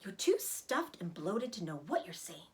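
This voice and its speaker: A woman reading as a motherly storybook spider, calm and a little weary. She speaks in a measured, sighing way, gently firm with the others. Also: fairly low pitch.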